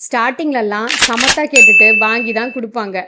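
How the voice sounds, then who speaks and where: A woman's voice: fairly high pitch, fairly quick, heavy background noise, natural voice. A woman acting a scheming, know-it-all friend in a comedy skit, with a Tamil Nadu accent. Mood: smug coaching.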